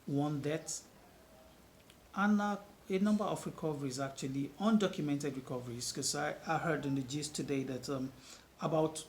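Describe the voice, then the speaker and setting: A man's voice: a little high, a little hiss or hum. A man from Nigeria, giving an earnest news update as a reporter. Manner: earnest, emphatic. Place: outdoor market.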